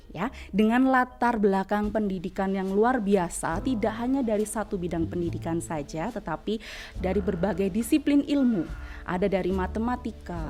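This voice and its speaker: A woman's voice: mid-range voice, darkish tone. A woman hosting a podcast, chatty, with many fillers. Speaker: podcast host, lecturer.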